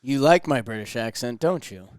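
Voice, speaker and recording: A man's voice: mid-range voice, lively intonation, normal speed. A man co-hosting, reading out game options in a dry, wry way. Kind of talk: comedic reaction chat.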